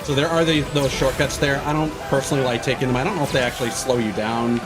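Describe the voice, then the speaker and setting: A man's voice: mid-range voice, steady tone, quick pace. A man giving casual, rambling, sweary commentary as he streams a video game. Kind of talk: casual gaming livestream commentary. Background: game music.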